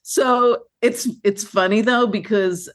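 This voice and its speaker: A woman with a mid-pitched voice is being interviewed about her work. She tells her stories in a lively, chatty way, and she often restarts sentences and fills pauses with "you know.". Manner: animated, chatty storytelling with fillers and restarts. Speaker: filmmaker guest. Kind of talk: conversational interview.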